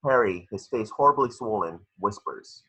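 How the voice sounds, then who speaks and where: A man with a lowish, very sharp and forceful voice, slightly husky. He reads stage directions as scripted narration, measured and dramatic, in short phrases. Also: lively intonation, rough recording. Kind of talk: scripted narration for a table read.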